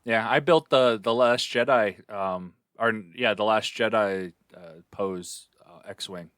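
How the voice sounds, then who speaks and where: A middle-aged man co-hosting a podcast, chatting casually in a halting, rambling way. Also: lowish voice, a bit bright, clear, resonant tone, dry recording, studio quality. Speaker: podcast co-host.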